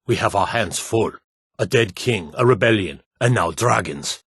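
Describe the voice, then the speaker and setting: An actor playing a gruff, proud Nordic warrior and guard in a fantasy video game, speaking in a firm, emphatic, declamatory way. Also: male voice, on the low side, animated, moderate pace, fairly bright tone, dry recording, studio quality, full character voice. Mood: dutiful, weary. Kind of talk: dramatic video game dialogue.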